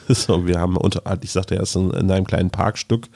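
Podcast co-host, male, middle-aged, lower register, relaxed storyteller in casual conversation. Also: fairly quick, gravelly.

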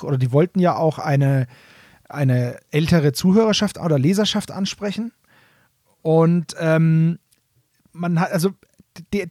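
A man with a fairly high voice talks as a podcast co-host. He chats casually and explains carefully, often rewording his points. The sound is close and very dry. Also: a little mellow, dry recording. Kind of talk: casual nostalgic chat about audio dramas.

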